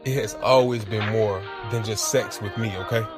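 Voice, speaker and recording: An actor playing a hurt, jealous lover in naturalistic audio drama, talking in blunt, accusing slang. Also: male voice, fairly low pitch, steady tone, moderate pace, lightly acted. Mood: pleading, frustrated. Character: hurt, jealous, lovesick man confronting his lover. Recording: music behind the voice. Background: clear background music.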